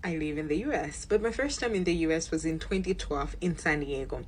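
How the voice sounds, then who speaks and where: A woman's voice: deep voice, fairly quick, a little hiss or hum. A woman from Malawi telling a story about a restaurant in a street interview, with many fillers.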